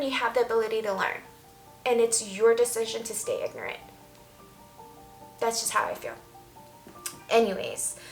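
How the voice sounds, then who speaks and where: A woman speaks in a mid-range voice, sharing opinions in a casual vlog style. Her delivery is candid and emphatic. The room adds noticeable echo, and music plays faintly behind her. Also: medium pitch. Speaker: vlogger. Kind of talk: casual opinion talk to camera. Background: soft background music.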